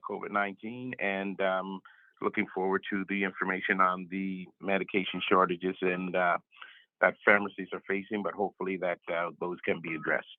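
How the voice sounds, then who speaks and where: A man with a voice raspy from a cold, giving halting welcome remarks as a health director. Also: low-pitched, dry recording. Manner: halting, many fillers. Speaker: health director and board member. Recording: tinny phone line.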